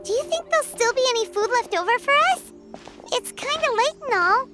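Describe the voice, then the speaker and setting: A woman voicing a tiny, excitable female fairy companion who talks about herself in the third person. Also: extremely high pitch, a little fast. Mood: curious. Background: game music.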